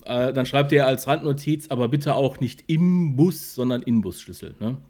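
A man co-hosts a casual podcast. He speaks at a medium pitch in a hesitant, conversational way, feeling for his words and often starting a phrase twice. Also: moderate pace, a little mellow. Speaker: podcast co-host.

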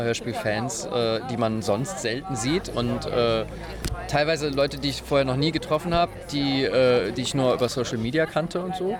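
A man chatting casually and a little haltingly in an interview. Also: medium pitch. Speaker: voice actor, interviewee. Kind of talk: casual chat. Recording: loud crowd noise at event.

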